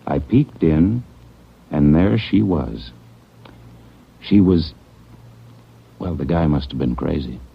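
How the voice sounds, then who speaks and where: A man's voice: a bass voice, animated, creaky, breathy edge, heavily acted. An actor playing a nervous, defensive hotel clerk who keeps pleading his innocence, in a 1940s radio drama. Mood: uneasy. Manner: jittery, pleading, quick to protest innocence. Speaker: hotel manager. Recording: dull 1940s radio broadcast.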